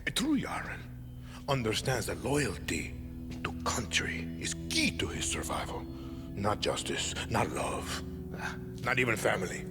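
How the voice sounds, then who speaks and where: An actor playing a calm, menacing middle-aged dictator and father, with a Latin American Spanish accent and a measured, deliberate delivery in a game cutscene. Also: fairly low pitch, whispered. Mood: quiet menace. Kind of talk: dramatic game cutscene acting. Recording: music behind the dialogue.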